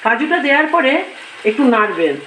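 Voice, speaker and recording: A woman hosting a cooking channel, giving recipe instructions in a measured, step-by-step way in short phrases. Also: a little high, very animated, a bit bright, a little hiss or hum. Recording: roomy echo, music behind.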